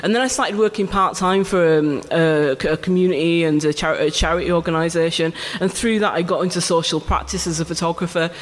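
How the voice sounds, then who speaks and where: High-voiced man, lively storyteller. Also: brisk, few pauses. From England. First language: Urdu. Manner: animated, laughing storyteller. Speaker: photographer guest. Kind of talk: live stage conversation. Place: arts venue stage before a live audience. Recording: live hall reverb with faint ambience.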